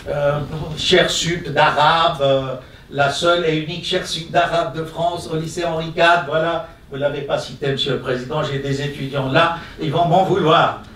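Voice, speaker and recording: High-voiced man lecturing in an echoey hall. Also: fairly bright tone, far from the mic, slight background noise. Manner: conversational asides with frequent "hein?" and hesitations. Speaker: conference speaker, professor. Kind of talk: lecture at a colloquium. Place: conference hall.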